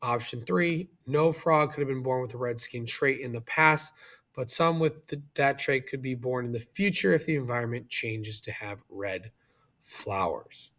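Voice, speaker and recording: Gravelly-voiced male teacher explaining a lesson. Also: mid-range voice, moderate pace, a bit bright. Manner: instructional, checks understanding with questions. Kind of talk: explanatory video lesson narration.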